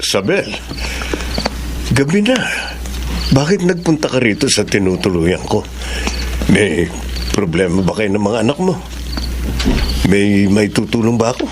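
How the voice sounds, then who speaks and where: A man's voice: on the low side, big pitch swings, measured pace, pauses often, noisy background. A man with a Filipino accent playing a kindly, principled older benefactor in a radio drama. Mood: flustered, concerned.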